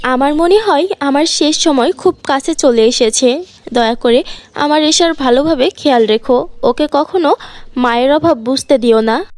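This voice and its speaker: A woman voicing a loving, gentle mother with a tender manner in a cartoon fairy tale. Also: sits high, normal speed, no room sound, close to an everyday voice. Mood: worried, tender. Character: loving, gentle mother, dying then seen in dreams.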